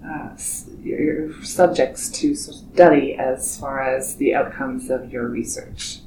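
A woman interviewer asking questions slowly and carefully. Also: rumbling low. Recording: roomy, distant recording with clear background noise.

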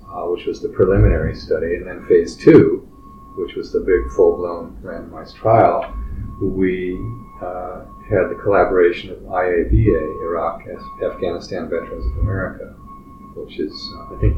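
A man in his sixties, a clinical social worker, answering interview questions in a steady, matter-of-fact way with many fillers. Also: low voice. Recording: roomy, distant, muffled recording with faint background noise.

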